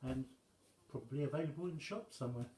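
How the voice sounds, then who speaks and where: A man reading a rhyming children's story aloud as an expressive storyteller. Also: mid-range voice, quick pace, roomy sound, slight background noise, low-quality recording.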